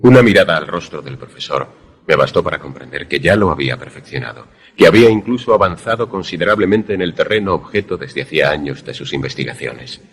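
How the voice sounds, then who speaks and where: An actor playing a deferential former student who narrates a suspense radio drama in formal, measured, literary language with a husky voice. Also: male voice, very deep voice, expressive, full character voice. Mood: measured, suspenseful.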